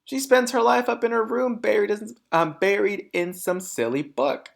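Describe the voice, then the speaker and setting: A man's voice: high voice, moderate pace, creaky, voice pushed up. A man voicing a vain, smug, scornful mother in a storybook, with brash and emphatic phrasing. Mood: dismissive.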